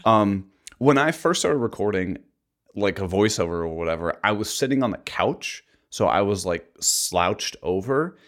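Deep, low-pitched man chatting on a podcast. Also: expressive, normal speed, fairly bright tone. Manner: rambling, heavy use of "like". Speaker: podcast co-host. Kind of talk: casual podcast chat. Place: apartment. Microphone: voice mic a couple inches from mouth.

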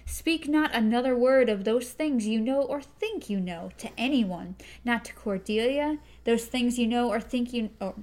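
A woman voicing a stern young male gunslinger who speaks with slow, grave warnings. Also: a little high, a little mellow, close to an everyday voice. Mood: threatening.

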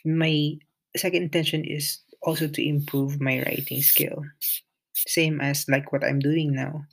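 Talks kinda slow and halting, lots of ums, flat delivery, raspy fry in the voice. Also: mid-range voice, rough recording. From Philippines. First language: Filipino. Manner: hesitant, many pauses and restarts; shy, introverted. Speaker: podcast host. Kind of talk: solo podcast monologue.

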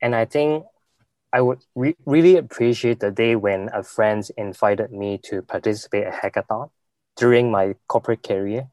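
A young man from Hong Kong, answering interview questions with many pauses, fillers and restarts. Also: on the low side, darkish tone, no echo. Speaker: guest, venture capital investor.

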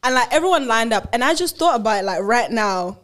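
A young woman co-hosting a podcast, chatting quickly and laughing often. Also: on the high side, animated, a bit bright. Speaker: podcast co-host. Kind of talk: casual chat.

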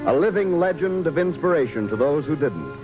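A man's voice: fairly high pitch, big pitch swings, brisk, poor audio. A man narrating a 1940s radio drama as its announcer, solemn and grandly sentimental. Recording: old radio recording, loud music.

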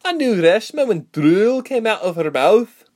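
Man doing a high, eager young woman. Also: big pitch swings, a little slow, a little mellow. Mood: friendly. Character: timid, friendly young woman reuniting with family friend. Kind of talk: audiobook character voice.

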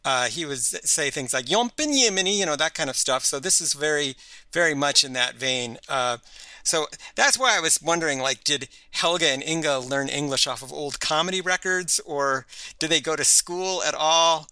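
Bright-voiced man in casual podcast chat. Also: fairly high pitch, normal speed, dry recording. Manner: chatty, frequent restarts and laughs. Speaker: podcast co-host.

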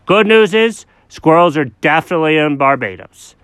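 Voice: mid-range voice, expressive, moderate pace, a bit bright, smooth, dry recording, pitched above the performer's own voice, lightly acted. A storyteller voicing a cheerful know-it-all male dog who talks confidently, in a matter-of-fact way. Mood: breezy.

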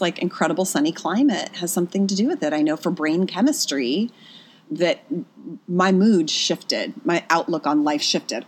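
A woman with a notably low voice speaks earnestly, reflecting on her own life. She is from Pennsylvania and tells her story as a guest in a candid, personal way. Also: slightly rough. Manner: earnest, reflective, speaks with frequent "I was just like".

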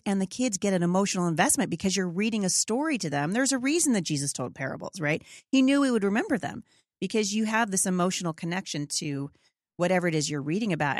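A woman's voice: lowish voice, no room sound, studio quality. A middle-aged American woman hosting a casual interview, informal and emphatic, often checking in with the listener. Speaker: podcast host, homeschool mom.

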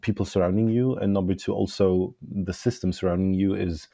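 Deep gravelly young man. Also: fairly even intonation, brisk, warm tone, dry recording, studio quality. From Switzerland. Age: young adult. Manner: emphatic, repeats "absolutely". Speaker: guest. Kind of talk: earnest opinion talk.

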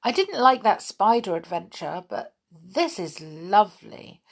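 A woman's voice: mid-range voice, big pitch swings, unhurried, creaky, pitched above the performer's own voice, exaggerated voice. A woman voicing a young girl in a storybook reading, gushing with delight. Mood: happy.

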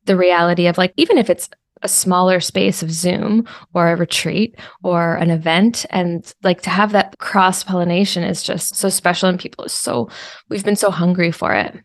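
A woman's voice: lowish voice, fairly even intonation, moderate pace, dry recording, studio quality. A woman hosting a podcast, asking long, thoughtful questions in a searching way and using many fillers. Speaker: podcast host. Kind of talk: reflective interview conversation.

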